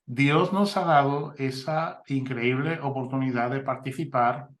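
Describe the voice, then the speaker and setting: A man with a mid-pitched voice hosting an interview. He affirms his guest often and talks with many fillers and restarts. The sound has an echoey room. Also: a little slow. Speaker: interviewer, mission ministry host. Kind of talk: conversational interview. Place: Spain.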